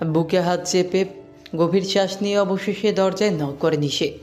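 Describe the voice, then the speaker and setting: Storyteller for a scripted audio romance, mid-pitched narration over background music. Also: moderate pace, a little mellow.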